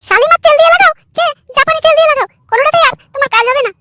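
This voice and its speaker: Nagging young cartoon wife, really shrill and bright, mocking, rattles it off. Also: male voice, racing, no room sound, studio quality, full character voice. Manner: mocking, complaining. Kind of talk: comedy cartoon dialogue.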